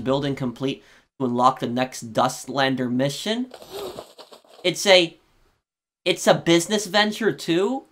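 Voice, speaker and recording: A man's voice: medium pitch, a bit bright, a little hiss or hum. A man giving casual let's play commentary, hesitantly thinking aloud as he goes. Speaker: gaming YouTuber.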